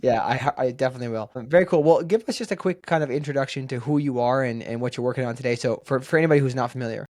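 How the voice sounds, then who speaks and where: Brisk podcast host, dry close sound. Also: male voice, medium pitch, darkish tone, no room sound. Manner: brisk, upbeat, scripted intro. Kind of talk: podcast intro and interview.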